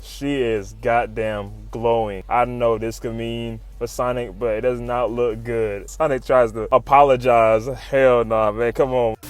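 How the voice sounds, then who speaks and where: Male recap host, medium pitch, informal and exclamatory, loose slang and profanity, light music bed. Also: level tone, a little slow, few pauses, clean tone. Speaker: video host reviewing comics. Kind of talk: excited comic recap commentary.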